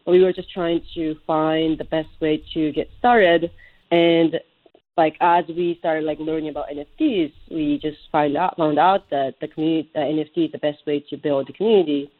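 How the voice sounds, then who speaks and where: A woman's voice: low voice, fairly even intonation, moderate pace, phone-quality sound. A woman from Japan, answering interview questions conversationally and searching for words as she goes. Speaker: interview guest, startup co-founder.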